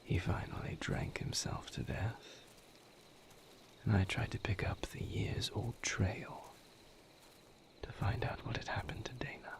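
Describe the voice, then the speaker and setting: Man as a whispering, gravelly male vampire, brooding. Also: fairly low pitch, very animated, full character voice. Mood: somber, bitter. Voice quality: breathy, gravelly near-whisper. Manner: halting, somber, confiding. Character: brooding male vampire lover recounting his grim past. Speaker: audio roleplay performer. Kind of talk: intimate dramatic audio roleplay monologue.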